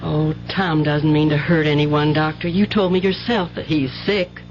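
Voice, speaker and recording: A woman's voice: low voice, steady tone, normal speed, slight background noise, lightly acted. Actress as a fretful country mother, muffled radio. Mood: worried, pleading. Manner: folksy country speech, fretful and tender. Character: anxious, doting rural mother, worn but loving. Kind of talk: 1970s radio drama acting. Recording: muffled old radio broadcast, music bed.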